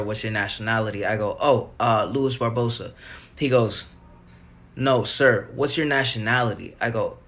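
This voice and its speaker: A man's voice: lowish voice, fairly bright tone. A young man telling a casual, slangy story. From New York City. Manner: laid-back, slangy storytelling.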